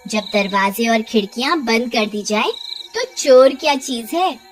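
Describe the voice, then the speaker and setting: A woman voicing a high-pitched stubborn cartoon village wife. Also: a little slow, over music, close to an everyday voice. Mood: dismissive, stubborn. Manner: self-assured, scolding. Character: stubborn, vain village wife, dismissive, wailing when hurt.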